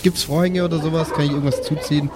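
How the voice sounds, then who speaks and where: A man's voice: on the high side, fairly even intonation, racing. A man playing a tabletop role-playing game, bantering casually and improvising his lines.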